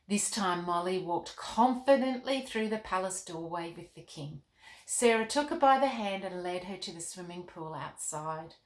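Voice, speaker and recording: A woman's voice: medium pitch, echoey room. A woman hosting a children's story show, narrating and chatting expressively to young listeners as the author. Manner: clear, expressive, addressing children.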